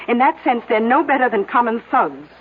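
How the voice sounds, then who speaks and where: A woman's voice: on the high side, sing-song, fairly quick, slight background noise. An actress playing a bold, sly small-town American woman, politely accusing, in a radio drama. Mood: coolly accusing. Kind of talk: 1970s radio drama acting. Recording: old tinny narrow-band radio, faint music.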